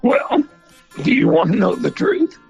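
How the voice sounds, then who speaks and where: An older man with a husky, gravelly voice, telling folksy stories in an interview. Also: very high-pitched, measured pace, highs cut off, faint music behind. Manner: folksy, animated storyteller. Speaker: museum founder and former country singer. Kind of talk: storytelling in an interview.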